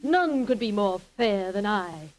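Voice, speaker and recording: A woman's voice: mid-range voice, animated, measured pace, close to an everyday voice. An actress playing a vain, haughty wicked queen, reciting theatrically in rhyme in an old radio skit. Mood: indignant. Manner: theatrical rhyming delivery, imperious. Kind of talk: 1930s radio acting in an ad skit.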